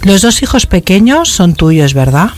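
Soothing medium on call-in radio. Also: female voice, mid-range voice, very animated, measured pace, slight background noise. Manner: soothing, reassuring, checks with the caller often. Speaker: psychic medium guest. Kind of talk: spiritual reading on a call-in radio show. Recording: radio broadcast with faint music.

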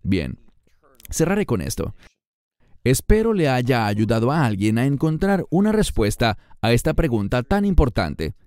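A man's voice: mid-range voice, sing-song, moderate pace, darkish tone, clean tone. A man preaching a sermon with emphatic stress, his voice climbing and dropping to press each point.

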